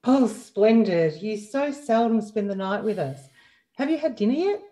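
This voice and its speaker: A welcoming, practical young woman in a stage drama, read by a woman. Her tone is mellow with lively pitch, heard slightly away from a video-call microphone. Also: moderate pace, distant mic, lightly acted. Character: hospitable, practical young woman running the household. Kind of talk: amateur play reading.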